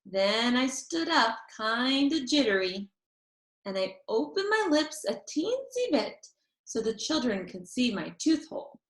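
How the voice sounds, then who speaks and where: A woman reading a children's chapter book aloud in an expressive, deliberate storytelling manner. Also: a little high. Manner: animated, deliberate storytelling with drawn-out phrases. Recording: roomy, echoey sound.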